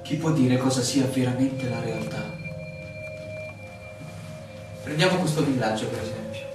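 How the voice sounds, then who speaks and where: An actor playing a weary, bewildered land surveyor in a radio drama, theatrical and searching, full of questions. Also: male voice, medium pitch, close to an everyday voice. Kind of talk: Italian stage-style radio drama acting. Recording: very echoey, distant, clearly noisy room recording.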